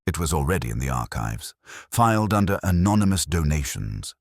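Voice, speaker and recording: A man's voice: extremely low pitch, sing-song, a little fast, creaky, no room sound, studio quality, exaggerated voice. A man voicing an uneasy, dutiful woman archivist who speaks politely and factually in a mystery story.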